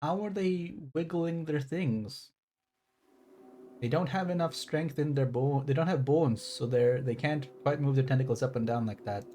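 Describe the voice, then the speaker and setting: A man's voice: fairly high pitch, normal speed, warm tone, slight background noise. A man streaming a game, chatting casually and rambling, easily surprised by what he sees. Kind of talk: casual live commentary.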